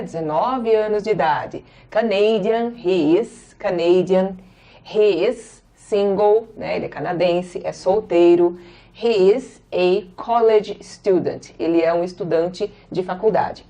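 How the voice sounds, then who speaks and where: A Brazilian woman in her forties with a Brazilian Portuguese accent, an English teacher patiently explaining and slowly repeating each example. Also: medium pitch, a little mellow. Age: 40s. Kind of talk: classroom-style explanation.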